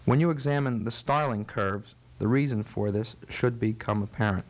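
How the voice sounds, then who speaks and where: A man's voice: medium pitch, moderate pace. Man giving formal, precise medical explanations. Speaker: physician interviewed as expert. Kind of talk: measured medical teaching interview.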